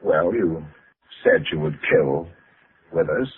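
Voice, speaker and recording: An actor in an old radio drama playing a cold, controlling father who threatens in a formal, measured way. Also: very deep voice, lively intonation, breathy edge, full character voice. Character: cold, controlling father calmly blackmailing at gunpoint. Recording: noisy, tinny old radio recording.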